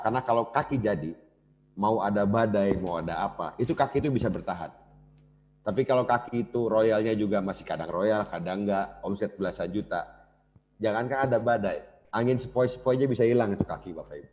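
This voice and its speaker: Low mellow guy, talking to the crowd like a coach, muffled old tape sound. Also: fast, slight background noise. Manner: casual, addresses audience directly. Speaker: business speaker. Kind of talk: motivational seminar talk. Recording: dull lo-fi cassette recording.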